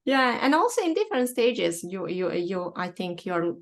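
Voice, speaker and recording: A young woman from Bosnia hosting a podcast chat in English with a Bosnian accent, speaking haltingly with many fillers and restarts. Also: medium pitch, sing-song. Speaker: podcast host. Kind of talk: casual online chat.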